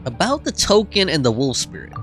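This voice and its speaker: A game streamer reacts casually in a mid-pitched voice that swings widely. The talk is slangy and playful with muttered asides, over clearly audible game music. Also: huge pitch swings, fairly quick, a bit bright.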